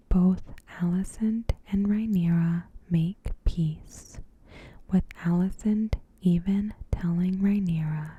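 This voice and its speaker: A woman softly whispering a scripted recap in a gentle, hushed, breathy ASMR narration. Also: lowish voice. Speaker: ASMR host.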